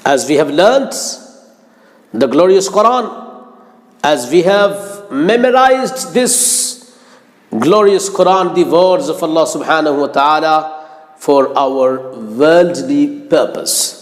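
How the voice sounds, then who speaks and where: A man preaches slowly in a fairly high voice that rises and falls with lively movement. His delivery is formal and earnest, like a religious teacher instructing students. Long reverb trails after his words. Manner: earnest, formal, deliberate phrasing. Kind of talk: religious sermon with Arabic quotations.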